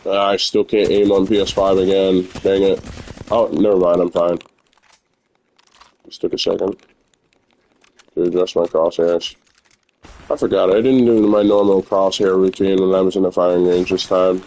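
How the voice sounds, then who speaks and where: Casting: young male gamer, low-ish voice, naturally loud, candid rambling commentary. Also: little pitch movement, moderate pace, long pauses, poor audio. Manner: rambling, candid, filler-heavy. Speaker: gamer streamer. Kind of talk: casual gameplay commentary.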